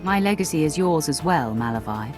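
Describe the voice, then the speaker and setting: An actress playing a composed, commanding female warrior lord in a video game, speaking in a measured, formal way. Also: low-pitched, expressive. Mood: composed, fond. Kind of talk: video game cutscene acting. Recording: loud game music under dialogue. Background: game score.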